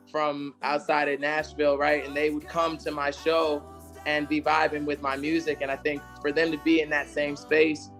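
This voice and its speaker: A man with a raspy, slightly hoarse voice, answering interview questions in a relaxed, conversational way. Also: on the high side. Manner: relaxed, conversational, sings snippets. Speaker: interview guest, singer-songwriter. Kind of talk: casual interview chat.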